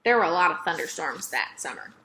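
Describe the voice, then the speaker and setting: A woman narrating a children's novel aloud in an expressive, emphatic storytelling manner. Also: low voice, fast talker, a little hiss or hum.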